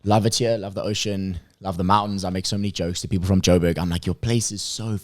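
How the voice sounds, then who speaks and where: Deep-voiced young South African man. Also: steady tone, a little mellow, no room sound, studio quality. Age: young adult. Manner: laid-back, slangy, quick to laugh. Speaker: podcast guest. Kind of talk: casual chat.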